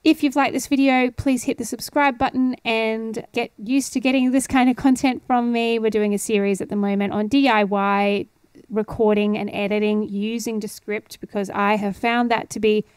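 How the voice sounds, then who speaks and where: Clear, high-voiced woman giving a tutorial. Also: dry recording. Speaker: host of an audio tutorial series. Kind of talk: casual tutorial explanation. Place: indoor home studio. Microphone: close studio microphone on boom arm with headphones.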